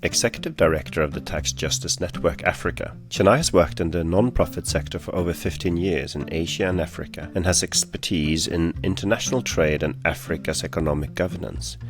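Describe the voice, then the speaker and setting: A man co-hosting a podcast, reading introductions in a measured, announcer-like way. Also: sits low. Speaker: podcast co-host.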